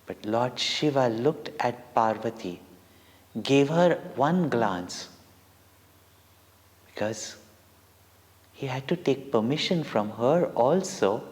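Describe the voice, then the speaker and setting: Slow-speaking Indian man in a reverberant hall. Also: medium pitch. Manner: deliberate, dramatic, with short pauses between phrases. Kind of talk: devotional storytelling for children. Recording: long reverberant hall echo.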